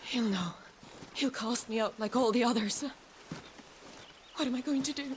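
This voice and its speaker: A woman's voice: on the high side, normal speed, slight background noise, lightly acted. A woman voicing a despairing, unhinged noblewoman in a video game, with an anguished, volatile delivery. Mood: distraught, despairing. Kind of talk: dramatic video game voice acting.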